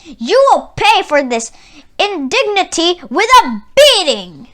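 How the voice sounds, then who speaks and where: A greedy male warlord from a children's storybook, acted out by a woman. He is loud and indignant, pitched very high and swooping as he commands and threatens. Every word is drawn out with menace. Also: sing-song, takes it slowly, full character voice. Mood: outraged. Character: greedy, furious male Mongol tyrant.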